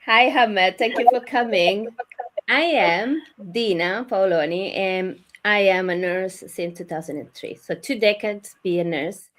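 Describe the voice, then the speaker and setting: A woman from Italy with an Italian accent, hosting a live online chat over a video call in slightly halting English. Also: mid-range voice, a bit bright. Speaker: host and career coach.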